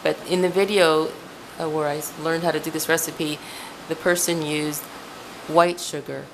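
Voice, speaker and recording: Woman, from Ecuador, Spanish first language, lowish voice, rambling home cooking chat, faint noise. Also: fairly even intonation, moderate pace. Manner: chatty, rambling, restarts and self-corrections. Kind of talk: casual kitchen chat. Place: home kitchen. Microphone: camera mic, speaker off screen.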